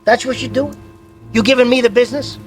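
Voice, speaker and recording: A man's voice: very high-pitched, fairly even intonation, fast talker, faint music behind. A man acting a bitter, greedy small-time crook in a radio thriller, with pushy, impatient tough talk. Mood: menacing.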